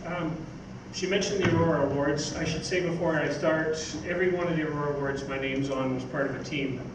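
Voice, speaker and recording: An older man giving an informal, halting talk from notes on a stage. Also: on the high side, fairly even intonation. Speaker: speaker, magazine editor. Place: theatre stage, indoors. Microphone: stage mic on stand, picked up by distant camera. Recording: roomy, noisy stage recording from far off.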